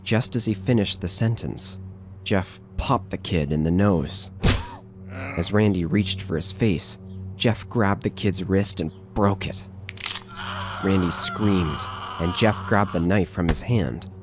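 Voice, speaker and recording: A man's voice: low-pitched, slow, music in the background. A man narrating a scripted horror story as the narrator of an audio drama.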